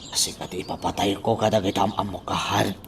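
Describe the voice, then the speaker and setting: A man's voice: low voice, little pitch movement, fast, creaky, a little hiss or hum. An actor playing a menacing boss man in a radio drama, giving orders and threats. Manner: commanding, threatening.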